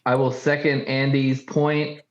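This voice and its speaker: Echoey dull audio, man, flat even intonation, casual sports panelist. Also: medium pitch, unhurried. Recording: dull, roomy, echoey connection.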